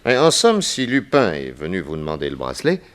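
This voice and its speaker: An actor playing a shrewd, unflappable private detective, probing and dryly confident, in 1960s French radio drama style. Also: male voice, low-pitched, huge pitch swings, fairly quick, a bit bright, full character voice.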